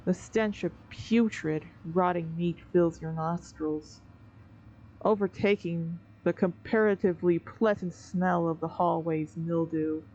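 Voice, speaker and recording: A woman giving scripted second-person narration of a horror story, measured and theatrical. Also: lowish voice, steady tone, mellow, slight background noise. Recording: dull recording with background music.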